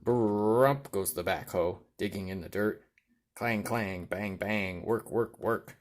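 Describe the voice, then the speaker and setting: Male storyteller, low voice, reading a picture book to a young child with rhythmic, deliberate emphasis. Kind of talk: read-aloud children's storytelling.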